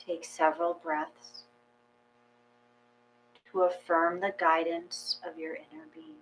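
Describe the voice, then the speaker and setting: A woman reading a guided meditation aloud in a slow, calm, measured way with long pauses. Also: fairly low pitch, gravelly, rough recording. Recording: faint mains hum.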